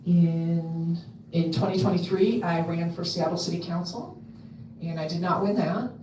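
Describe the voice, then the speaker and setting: A woman with a loud voice giving a casual talk to a convention audience, chatty and full of ums and restarts. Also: lowish voice, unhurried, a little hiss or hum. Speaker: convention speaker. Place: convention hall. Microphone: handheld mic on a stand. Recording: echoey hall, mic at a distance.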